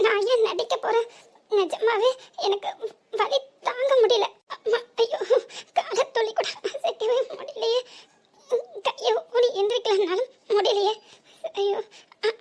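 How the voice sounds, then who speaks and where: A woman voicing a fallen old woman who groans, wails and pleads for help in an animated story. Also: very high-pitched. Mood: wailing. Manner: wailing, pleading and groaning in pain.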